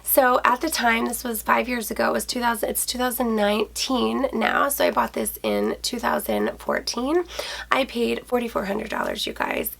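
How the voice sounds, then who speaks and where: A woman in her thirties talks brightly to her viewers, her voice stuffy and nasal from a cold, with a gravelly fry creeping in. She sits close to the camera in a small closet room and keeps the tone friendly and chatty. Her voice sits a little high for a woman. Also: huge pitch swings, normal speed. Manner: bubbly, chatty, addresses viewers as guys. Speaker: fashion vlogger. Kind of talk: casual handbag review to camera. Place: small indoor closet room with shoe shelves.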